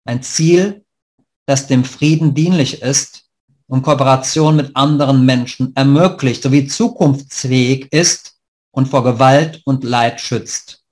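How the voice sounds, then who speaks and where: Man delivering a prepared lecture by webcam, measured and formal. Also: medium pitch. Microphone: webcam on a video call.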